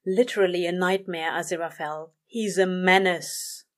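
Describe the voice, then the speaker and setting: A woman voicing a weary, dramatic male demon in an audio story, with drawn-out, exasperated grumbling. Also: fairly low pitch, fairly even intonation, lightly acted.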